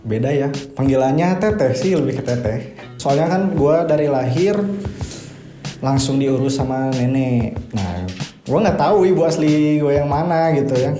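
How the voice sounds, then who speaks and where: A man of twenty-one answering interview questions in relaxed, hesitant casual chat. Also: medium pitch, measured pace. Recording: echoey room with music behind.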